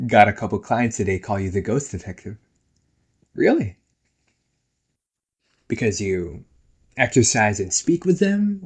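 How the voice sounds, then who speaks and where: A man plays a young ghost brother in an audio roleplay, teasing and nagging in a casual, chatty way. His voice sits a little low and is husky, with very animated pitch that jumps around as he banters. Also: exaggerated voice. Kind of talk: casual one-sided roleplay audio acting.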